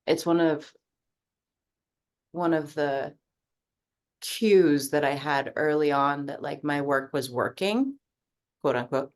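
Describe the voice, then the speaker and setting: A woman sharing in a group coaching video call, talking in a casual, reflective way, full of fillers and easy swearing. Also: very deep voice. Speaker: coaching participant.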